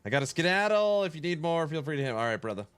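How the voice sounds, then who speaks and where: A man's voice: on the high side, fast talker, smooth, faint music behind. A man streaming a game, chatting casually with his viewers and answering their questions while nasal from a cold. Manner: relaxed, answers chat questions aloud. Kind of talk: casual live-stream chat.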